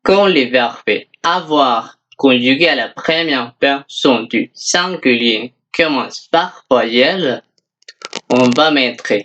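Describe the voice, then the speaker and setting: A language teacher giving a scripted beginner lesson in a deliberate, instructional manner, repeating example phrases. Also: mid-range voice, a bit bright, lo-fi sound.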